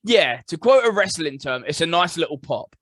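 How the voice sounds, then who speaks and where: A man's voice: fairly high pitch, big pitch swings, moderate pace, fairly bright tone, no room sound, studio quality. A man giving his opinions plainly in casual conversation as a podcast co-host. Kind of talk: casual chat.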